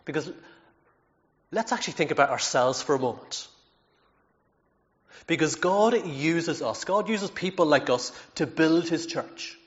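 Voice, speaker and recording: A man's voice: on the high side, fairly bright tone, dull audio. A man preaching a sermon in an earnest, emphatic way, with self-deprecating asides and frequent repeated words.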